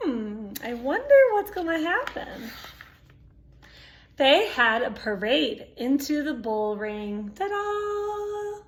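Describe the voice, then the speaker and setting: Lady doing storytime for little kids, really high voice, slow, expressive, chats and asks questions as she reads. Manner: expressive, childlike exclamations and questions to listeners. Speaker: storytime reader. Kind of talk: reading a picture book aloud to young children with asides and questions.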